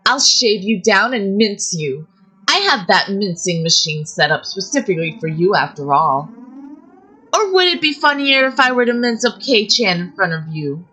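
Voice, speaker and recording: A cruel young woman taunts her prisoner with slow, cold threats, voiced by a woman reading the story. Her tone is bright and pitched above her plain voice. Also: big pitch swings, husky, heavily acted, rough recording. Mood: cruel taunting. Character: menacing young woman captor, cruel, mocking.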